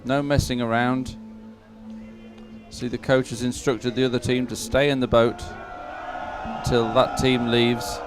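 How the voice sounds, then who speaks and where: A man's voice: mid-range voice, flat delivery, measured pace, long pauses, music in the background. A man giving conversational live commentary on a sporting event as a co-commentator.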